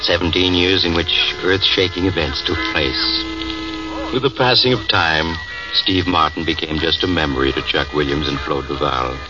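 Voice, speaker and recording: A man narrating a 1940s radio drama in a grave, measured, dramatic manner. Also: low voice. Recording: muffled old radio broadcast, distant, music under.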